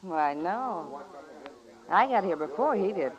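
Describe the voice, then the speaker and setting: A woman's voice: lowish voice, lively intonation, takes it slowly. An actress playing a cool, defiant gambling-hall woman in a radio western, curt and challenging. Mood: coolly polite. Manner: curt, challenging, coolly polite. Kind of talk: old-time radio western acting. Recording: muffled old-time radio, crowd murmur behind.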